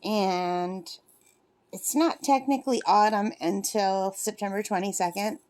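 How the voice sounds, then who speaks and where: A woman's voice: medium pitch, measured pace, a little mellow. A woman in her fifties chatting casually in a rambling, self-correcting way, vlogging from her lakeside cabin while she crafts. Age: 50s. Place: cabin at a lake.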